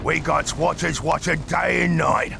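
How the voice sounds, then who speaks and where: An actor playing a boastful, excitable orc boss in a video game, with a growling voice and broken, exclamatory speech. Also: male voice, fairly high pitch, unhurried, music in the background. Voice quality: gravelly, growling. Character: boastful, excitable orc stronghold boss, uncouth and easily panicked. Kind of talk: video game cutscene acting.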